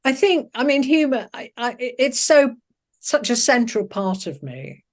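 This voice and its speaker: An older woman talking reflectively in an interview, thoughtful and often pausing to search for her words. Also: high-pitched, big pitch swings. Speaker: interviewed poet.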